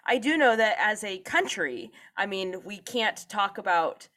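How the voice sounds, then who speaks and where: A woman's voice: medium pitch, lively intonation, measured pace, fairly bright tone. A woman co-hosting a podcast, chatting casually and joking, now and then slipping into comic voices. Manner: jokey, slips into comic put-on voices. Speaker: podcast co-host. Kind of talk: casual conversational chat.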